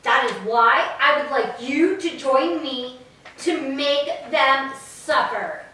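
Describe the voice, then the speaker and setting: A woman playing a proud, vengeful goddess with formal, deliberate delivery in a staged reading of Greek tragedy. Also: high-pitched, bright, forceful tone, heavily acted. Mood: resentful. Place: echoing church interior. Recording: very echoey hall, distant mic.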